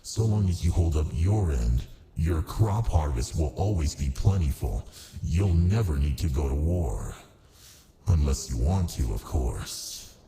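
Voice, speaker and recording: A man playing a massive ancient male demon in an ASMR roleplay, smug and amused, with a smooth, politely menacing, domineering way of talking. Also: very deep voice, expressive, measured pace, dark tone, slight background noise. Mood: teasing, coaxing. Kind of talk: ASMR roleplay, close and unhurried.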